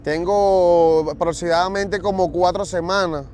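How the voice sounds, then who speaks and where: A man from Venezuela with a Venezuelan Spanish accent, answering street interview questions in a relaxed, matter-of-fact way outdoors. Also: high voice, a little slow. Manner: relaxed, matter-of-fact, speaks of God's will. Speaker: interviewee, job seeker. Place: outdoors at a store parking lot. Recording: outdoor street noise, traffic.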